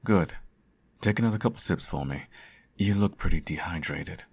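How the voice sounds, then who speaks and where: A man playing a sardonic, theatrical supervillain who fusses over his nemesis in an ASMR audio roleplay, his voice slightly husky. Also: deep voice, animated, moderate pace, full character voice. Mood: caring, fussing. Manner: theatrical, teasing, sarcastic.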